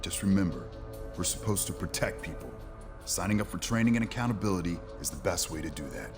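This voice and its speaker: An actor playing an earnest, steady male superhero in a video game, speaking seriously and firmly. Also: deep voice, fast, music in the background. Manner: serious, firm. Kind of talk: video game cutscene acting.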